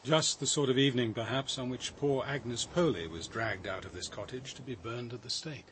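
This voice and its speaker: An actor as a measured investigator, some background noise. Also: male voice, mid-range voice, a bit bright, natural voice. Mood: wry, reflective. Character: thoughtful, cultured, analytical male police investigator. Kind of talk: radio drama acting.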